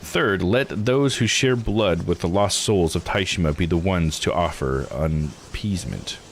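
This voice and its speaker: A man's voice: low voice, a little slow, a little hiss or hum. A man voicing a solemn ancient sage who intones ritual instructions in archaic, ceremonious phrasing.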